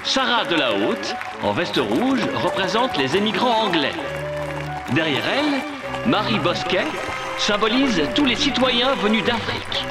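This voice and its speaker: High, animated parade announcer. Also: male voice. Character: enthusiastic male parade announcer. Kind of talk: ceremonial announcing in a cartoon. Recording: loud music and crowd behind.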